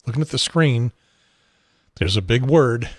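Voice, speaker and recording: Host, male, 70, American. Husky, somewhat low voice, dry close sound, halting unscripted delivery. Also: animated, no room sound. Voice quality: husky, unsteady voice. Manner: rambling, halting, wry. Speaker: talk show host. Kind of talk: casual unscripted solo talk show.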